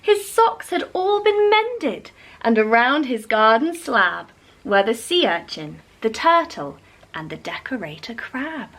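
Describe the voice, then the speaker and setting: A woman's voice: a little high, slow, poor audio. A woman storyteller reading a rhyming picture book aloud expressively for young children. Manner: animated, addresses young listeners.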